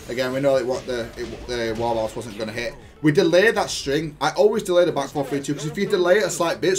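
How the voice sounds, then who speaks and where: A man talks through fighting game play at a medium pitch. He explains his choices as a player, thinking aloud in dense gaming jargon. Light music plays behind his voice. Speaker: gaming YouTuber and player analysing own match. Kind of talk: gameplay commentary.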